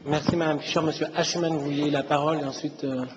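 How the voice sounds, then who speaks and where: Male meeting chair, fairly high voice, short procedural remarks, muffled hall sound. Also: little pitch movement, quick pace. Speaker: mayor chairing a council meeting. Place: large council meeting room. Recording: dull, noisy, reverberant hall recording.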